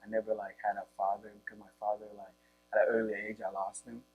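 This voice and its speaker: Flat-toned Congolese teenage boy, muffled recording. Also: fairly low pitch, clean tone. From Democratic Republic or Republic of the Congo. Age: teens. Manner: halting, informal, leans heavily on "like". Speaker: high school football player being interviewed. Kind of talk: casual interview answers. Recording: muffled, with faint electrical hum.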